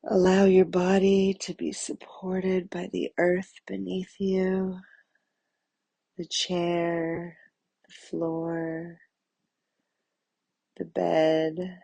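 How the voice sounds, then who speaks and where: A woman softly guiding a meditation in a soothing, breathy, husky voice, leaving long pauses between phrases. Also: fairly low pitch, little pitch movement, no room sound. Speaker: meditation guide. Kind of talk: guided meditation narration.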